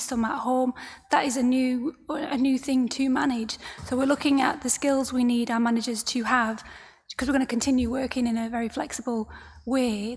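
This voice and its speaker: Woman, council officer, croaky, husky, hesitant with fillers, echoey meeting hall. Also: high-pitched. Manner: hesitant, many fillers and restarts, explanatory. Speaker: council officer presenting a report. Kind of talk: formal meeting presentation.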